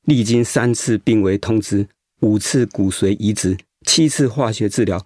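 An actor playing a gravely ill, despondent family man in a radio drama, speaking in a halting, weary, apologetic way. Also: fairly low pitch, a little mellow, no echo, studio quality, lightly acted. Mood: despairing. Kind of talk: Mandarin radio drama acting.

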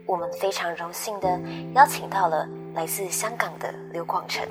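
A woman's voice: on the low side, normal speed. A woman delivering a scripted podcast introduction as a narrator. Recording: prominent music under the voice. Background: loud background music.

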